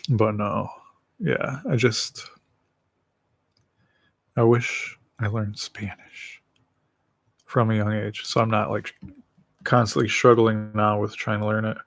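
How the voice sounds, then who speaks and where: A man's voice: fairly low pitch, level tone, slow pace, vocal fry, pauses often, fairly bright tone, dry recording. A man in his late twenties with a slight lisp, chatting casually and rambling with self-deprecating jokes on his own livestream. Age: late 20s. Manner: eating while talking. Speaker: livestream host. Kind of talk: casual solo livestream chat.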